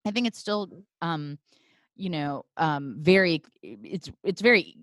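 A woman's voice: on the low side, slow pace, no room sound, clean studio sound. A woman hosting a casual chat in a thoughtful, wry way with many restarts, over a video call.

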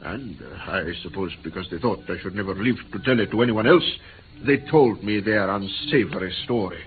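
A man's voice: deep voice, expressive, fairly quick. An actor playing a shaken, earnest male interpreter who tells his ordeal hesitantly in a vintage radio drama. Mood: weary, grave. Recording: tinny, noisy vintage radio recording.

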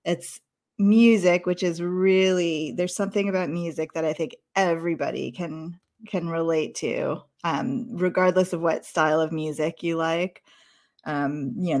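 Woman, lowish register, informal and chatty, self-deprecating, casual conversational delivery. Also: fairly even intonation, normal speed, a little mellow. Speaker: dance studio helper in conversation. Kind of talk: casual chat.

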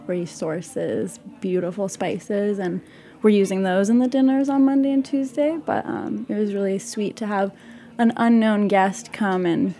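Lady chef guest from palestine, mid-pitched, friendly and reflective. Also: fairly even intonation, measured pace, dark tone. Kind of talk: casual interview.

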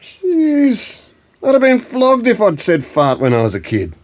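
A man's voice: high voice, wildly swooping pitch, a little slow, a little mellow, full character voice. A man playing a blunt, teasing old Australian grandfather in a naturalistic audio drama. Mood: gruff disbelief. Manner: blunt colloquial speech, teasing, ends phrases with "eh".

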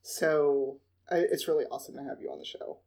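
A woman's voice: deep voice, fairly even intonation, a little fast, warm tone. A woman from Chicago with a Midwestern accent, co-hosting a podcast and welcoming a guest casually, often trailing off and restarting. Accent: Midwestern American. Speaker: podcast co-host.

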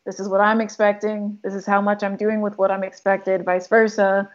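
A woman's voice: medium pitch, flat delivery, moderate pace, dull audio. A woman from Congo, a photographer talking casually in an interview, with frequent likes and ums. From Democratic Republic of Congo. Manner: chatty, lots of likes and ums. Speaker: photographer and filmmaker guest.

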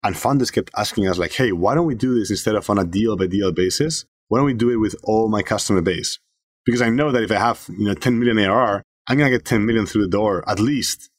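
A man from Spain, a startup founder being interviewed on a podcast, talking fast with many fillers and restarts. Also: on the low side, no room sound, studio quality. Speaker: startup CEO guest.

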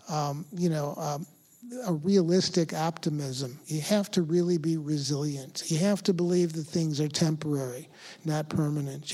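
A soft-spoken older man speaks to a room in a relaxed, conversational way, full of small fillers and throat clearing. His voice is mellow and warm. His delivery is unhurried and plain. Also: fairly high pitch. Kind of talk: conference talk.

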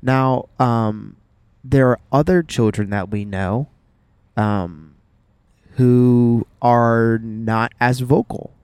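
A young man chatting on a podcast in a playful, animated way. His pitch is middling, and the sound is dry with no room echo. He stops and restarts with "um" before getting to his point. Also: very slow, smooth. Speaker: podcast co-host. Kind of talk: casual chat.

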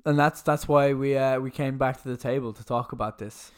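A man's voice: medium pitch, steady tone, brisk, clear, resonant tone. A man with a stuffy nose from a cold, co-hosting a casual podcast and bluntly complaining about a film. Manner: blunt, sweary, grumbling. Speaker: podcast co-host. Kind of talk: casual movie review chat.

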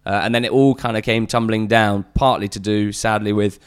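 A man's voice: fairly low pitch, steady tone, a little fast, clean tone. An English man guesting on a football podcast, chatting earnestly with lots of fillers and restarts. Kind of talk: casual podcast chat.

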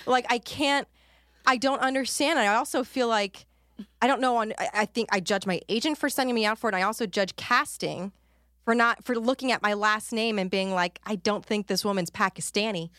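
A woman's voice: a little high, brisk, fairly bright tone, no echo, clean studio sound. A woman co-hosting a podcast, telling an exasperated story with frequent laughs and swearing. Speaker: podcast co-host. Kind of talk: casual chat over video call. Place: recording studio.

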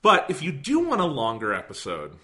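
A slightly hoarse man co-hosting a casual podcast, rambling and self-deprecating, with lots of fillers. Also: medium pitch, huge pitch swings, a little fast, bright, forceful tone. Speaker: podcast co-host.

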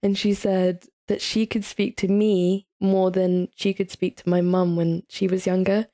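A woman's voice: medium pitch, little pitch movement, measured pace, mellow. A woman in her early twenties with a British accent, chatting informally and telling stories as a podcast guest. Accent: British English. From England, raised partly in Italy and Saudi Arabia. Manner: chatty, informal, often says "like". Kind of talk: casual conversational storytelling.

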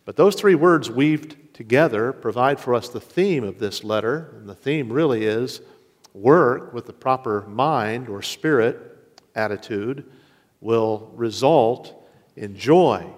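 A man preaching a sermon as pastor. He speaks earnestly and slows down to weigh his key words. His mid-pitched voice rings out in a large hall with a long reverb. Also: lively intonation. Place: church sanctuary.

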